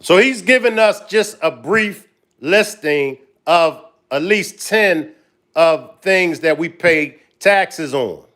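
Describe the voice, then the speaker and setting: A man's voice: on the high side, lively intonation, slow pace, a bit bright. A man hosting a live stream, talking loosely and directly to his viewers. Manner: loose, chatty, talks straight to viewers. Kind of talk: casual live-stream commentary.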